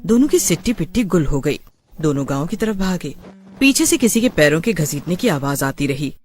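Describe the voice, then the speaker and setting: A man's voice: on the high side, big pitch swings, brisk, a little hiss or hum. A man narrating a scripted horror story in a dramatic storytelling style.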